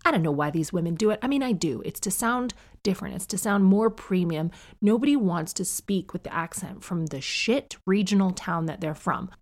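A woman in her thirties from Canada with a neutral North American accent, hosting a podcast in a chatty, gossipy monologue with quick asides. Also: mid-range voice, animated. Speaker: podcast host. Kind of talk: casual monologue.

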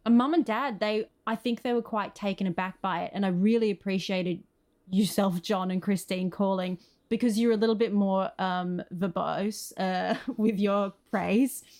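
A woman's voice: medium pitch, steady tone, moderate pace, a little mellow. A woman with an Australian accent, chatting casually and good-humouredly as a guest in a podcast conversation. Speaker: guest, screenwriter and actor.

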